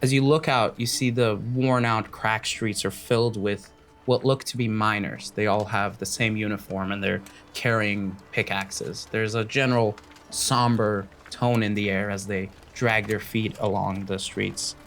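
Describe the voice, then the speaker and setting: A man's voice: on the low side, fairly even intonation, measured pace, fairly bright tone, faint music behind. A man narrating a tabletop fantasy game as the game master.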